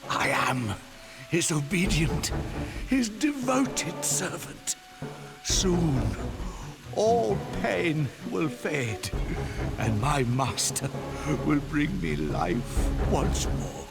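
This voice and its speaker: A man playing a fanatical old sorcerer in a video game, obsequious to his dark master, with theatrical, drawn-out phrasing. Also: on the high side, lively intonation, creaky, over loud music, heavily acted. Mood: fervent, devoted. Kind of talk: video game cutscene acting. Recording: noisy game soundtrack behind.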